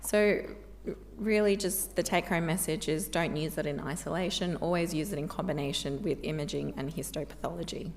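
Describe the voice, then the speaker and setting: A woman's voice: low voice, steady tone, a little mellow, slight background noise. Woman lecturing with a tired, failing voice. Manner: measured, informative. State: losing her voice. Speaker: medical specialist giving a talk. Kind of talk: conference lecture. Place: conference hall. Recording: long hall reverb.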